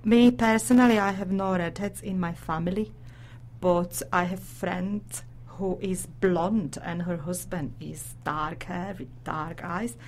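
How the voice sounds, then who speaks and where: A woman from Slovakia with a Slovak accent, co-hosting a casual radio talk and often pausing hesitantly. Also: lowish voice, a little hiss or hum. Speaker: radio show co-host.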